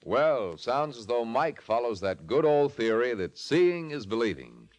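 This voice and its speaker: A man's voice: mid-range voice, sing-song, measured pace, a little mellow, faint music behind. A man announcing in a scripted 1940s radio style, with a polished, emphatic salesmanlike delivery. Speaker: announcer, narrator and sponsor pitchman.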